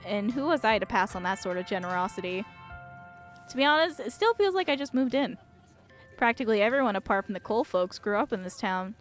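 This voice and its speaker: A woman's voice: mid-range voice, a bit bright, lightly acted. A woman voicing a friendly, easygoing young diner worker in a visual novel, with a folksy, chatty manner. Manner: folksy, laid-back, chatty. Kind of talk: visual novel character read-aloud.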